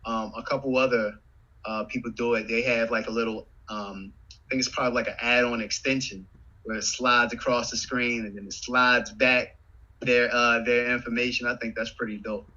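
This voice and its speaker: Bright-toned man, dull lo-fi sound. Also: medium pitch, moderate pace. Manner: relaxed, hesitant with fillers. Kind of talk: casual chat.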